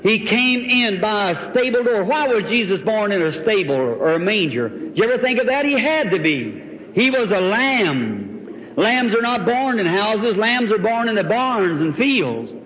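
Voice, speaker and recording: A man's voice: high-pitched, very animated, unhurried. A man preaches a revival sermon as a Pentecostal preacher, in a folksy, exhorting, exclamatory manner. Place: large hall, speaking from a platform. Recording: dull, noisy old hall recording, long reverb.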